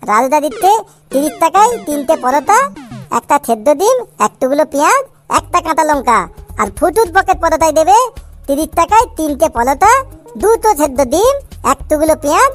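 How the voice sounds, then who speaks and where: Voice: high voice, animated, brisk, a bit bright, soft background music. A performer voicing a cheeky, foul-mouthed little boy in a comic cartoon, boastful and grumbling with a childish lisp. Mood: boastful, eager.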